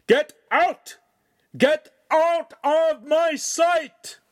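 A man voicing a big, hot-tempered, tyrannical master in an audiobook, domineering and commanding with drawn-out emphasis. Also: very high voice, no echo, studio quality, pitched above the performer's own voice, exaggerated voice. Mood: furious.

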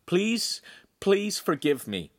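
A man narrator acts a sullen teenage apprentice. The voice jumps high, blurting and protesting defiantly, in a dry storybook recording. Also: very animated, slow, no echo, full character voice. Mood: nervous, reluctant.

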